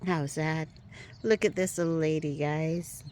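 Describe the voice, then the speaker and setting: A woman giving a casual walking tour of her garden outdoors, chatty and upbeat, a little hoarse, often asking "right?" as she films on a handheld phone. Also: low voice, fairly even intonation, a little slow, darkish tone, a little hiss or hum. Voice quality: a little hoarse, clears throat. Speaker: garden vlogger.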